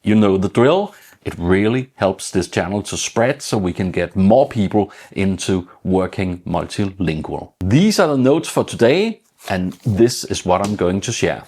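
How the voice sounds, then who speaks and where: A middle-aged Danish man speaks English with a Danish accent in a low voice. He explains things in a measured, plain way, like an informal vlog host talking through his notes. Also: darkish tone. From Denmark. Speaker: channel host.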